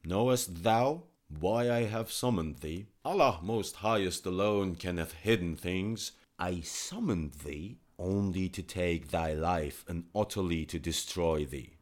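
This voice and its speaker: A man's voice: low-pitched, a little slow, few pauses. A man voicing a wrathful, suspicious king from an old tale, declaiming in archaic speech. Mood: menacing.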